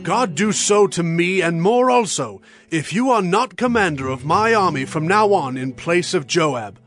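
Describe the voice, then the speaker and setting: An actor playing a grief-stricken elderly king in a dramatized scripture production, solemn and drawn-out. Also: sits high, lively intonation, a bit bright, faint music behind. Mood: solemn, earnest.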